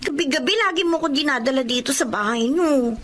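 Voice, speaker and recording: A woman's voice: high-pitched, brisk, a bit bright. A woman acting a lovestruck, rebellious student daughter in a radio drama, with a Filipino accent and a whiny, petulant delivery. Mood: reluctant, nagging.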